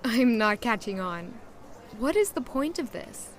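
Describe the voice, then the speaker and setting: A woman's voice: on the high side, very animated, a little slow. A woman voicing an eager young woman in an audio drama who asks questions and insists on trying again. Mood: curious. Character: curious, eager young woman, easily puzzled.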